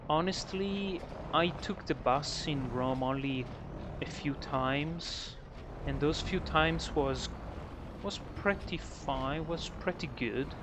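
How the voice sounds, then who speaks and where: A man from northern Italy, chatting casually and hesitantly to his viewers during a game stream, with lots of ums and restarts. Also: mid-range voice. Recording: steady background noise.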